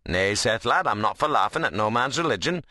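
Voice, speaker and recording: A man's voice: fairly low pitch, animated, a little fast, sharp tone, no room sound. A man voicing a principled, plain-spoken workman in an audiobook novel, blunt and earnest in a dialect. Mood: earnest conviction.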